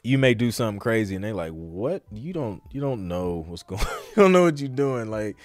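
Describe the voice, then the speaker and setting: A man's voice: on the low side, big pitch swings, brisk, no room sound. A man hosting a live question-and-answer podcast as a music producer, talking in an informal, slangy, rambling conversational way. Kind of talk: casual live Q&A chat.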